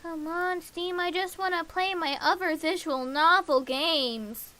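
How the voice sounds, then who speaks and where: A woman's voice: very high voice, a little slow, sharp tone. A woman livestreamer chatting casually and playfully to herself, slipping into sing-song babble. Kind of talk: casual livestream chat while setting up games. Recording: clear background hiss.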